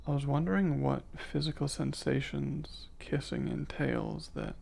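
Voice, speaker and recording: A man playing a curious, reserved male alien in an ASMR roleplay, speaking softly in formal, precise and hesitant wording. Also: medium pitch, unhurried, creaky, slightly rough, exaggerated voice. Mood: attentive, intrigued. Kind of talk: ASMR roleplay, soft intimate delivery.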